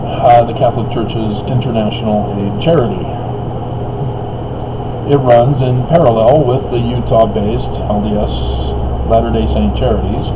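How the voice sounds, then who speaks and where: A man's voice: medium pitch, lo-fi sound, very noisy. A man reading an article aloud as a video commentator, breaking into it with derisive, mocking asides.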